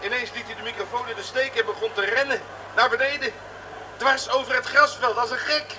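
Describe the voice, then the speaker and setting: An actor in a radio drama playing an agitated, bewildered chauffeur who sounds defensive. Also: male voice, very high-pitched, fairly even intonation, brisk. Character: flustered, defensive working-class male chauffeur reporting in. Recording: noisy old radio drama recording.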